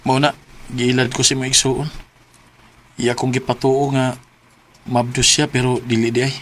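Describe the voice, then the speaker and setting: An actor playing a pleading, regretful ex-lover in a radio drama, speaking earnestly with a Filipino accent. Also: male voice, medium pitch, little pitch movement, unhurried, fairly bright tone, close to an everyday voice.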